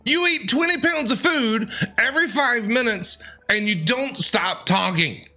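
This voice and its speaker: A woman's voice: on the high side, animated, a little slow, bright, forceful tone. A woman doing casual, playful, teasing gameplay reaction commentary. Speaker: streamer.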